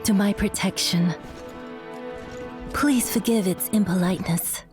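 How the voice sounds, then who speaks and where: A woman's voice: medium pitch, unhurried, music in the background, lightly acted. A young woman playing a polite, earnest noblewoman in a video game, speaking courteously and formally. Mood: grateful, grave. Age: young adult. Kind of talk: video game cutscene acting.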